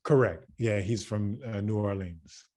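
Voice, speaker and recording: An American man from Louisiana talks as an interview guest, his voice sitting fairly low. He speaks haltingly, leaning on fillers and repeating words before he lands a point. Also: warm tone. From Louisiana, USA. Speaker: character coach, guest. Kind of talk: casual interview chat.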